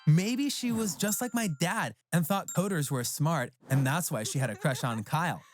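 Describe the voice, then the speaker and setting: A narrator reading a scripted first-person story for an animated tale. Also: mid-range voice, moderate pace. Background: background music.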